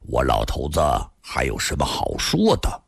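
A man's voice: sits low, huge pitch swings, unhurried, vocal fry, breathy edge, no echo, studio quality, voice pushed down, exaggerated voice. A man voicing a weary, sly old male storyteller in an audiobook tale, sighing and drawing out his words. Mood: resigned.

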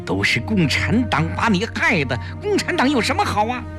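A man's voice: sits high, wildly swooping pitch, measured pace, over music, voice pushed up, full character voice. A man voicing a spirited, crafty young wife in a dramatic audiobook, with a theatrical, exclamatory manner. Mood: loud mock scolding.

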